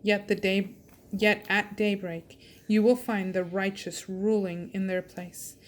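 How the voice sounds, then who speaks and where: A woman reading scripture aloud with measured, deliberate delivery. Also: medium pitch, little pitch movement. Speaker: reader and reading coach.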